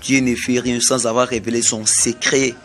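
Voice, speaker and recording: A man from Congo with a Congolese French accent, delivering a slow, repetitive prophetic sermon. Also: mid-range voice, little pitch movement, a bit bright, soft background music. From Congo (DRC). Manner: slow, repetitive, emphatic pronouncements. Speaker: self-styled prophet.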